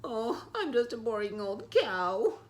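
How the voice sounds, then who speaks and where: A woman's voice: mid-range voice, big pitch swings, unhurried, fairly bright tone, a little hiss or hum, pitched above the performer's own voice. Woman voicing a sad, lonely cow. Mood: glum. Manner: plaintive, pleading. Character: sad, lonely female cow seeking a home. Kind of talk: storybook read-aloud.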